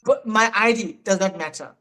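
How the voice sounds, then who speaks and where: A South African man in his thirties, a podcast guest in casual, animated chat who laughs often. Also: sits high, normal speed. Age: 30s.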